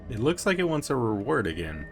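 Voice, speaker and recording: A man doing a casual game playthrough, commenting and reading dialogue aloud in a quiet, low-key murmur. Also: sing-song, a little fast. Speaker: game streamer playing through a quest. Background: game music.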